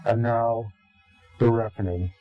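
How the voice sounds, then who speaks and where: A man giving an interview in a slow, grave, deliberate way, pausing often between phrases. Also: medium pitch, fairly even intonation, highs cut off, faint music behind. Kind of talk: ominous recorded interview.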